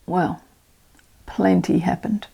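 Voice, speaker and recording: An older woman reading a children's storybook aloud as a storyteller, expressive and dramatic. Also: on the low side, slow pace, creaky, husky.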